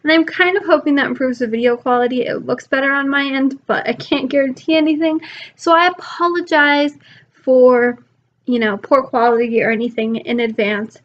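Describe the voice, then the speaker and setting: Young woman, homeschool vlogger, higher voice, recovering from a cold, chatty and filler-heavy; laptop mic, muffled lo-fi audio. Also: steady tone, moderate pace. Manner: chatty, lots of ums, rambling. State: recovering from illness, losing her voice. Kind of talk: casual talk to camera.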